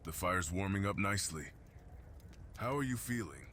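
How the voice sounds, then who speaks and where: Casting: male actor as gentle, lonely mountain guardian beast for ASMR fantasy roleplay; very low register, solemn and reassuring, some background ambience. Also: lively intonation, normal speed, slight background noise. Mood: tender, comforting. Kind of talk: ASMR fantasy roleplay, slow intimate monologue.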